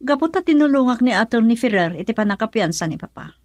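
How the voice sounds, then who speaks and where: Radio drama: a woman as a soft-hearted, conciliatory daughter, fairly high and pleading, close dry sound. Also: animated, quick pace, no room sound, close to an everyday voice. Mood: subdued. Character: soft-hearted, worried daughter and wife, peacemaking.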